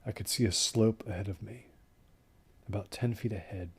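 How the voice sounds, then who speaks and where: An actor playing a dazed, delusional injured man recounting an ordeal in an audio horror drama, halting and detached, with hushed phrases. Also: on the low side, breathy edge. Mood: eerie, secretive. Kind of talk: first-person audio horror drama monologue.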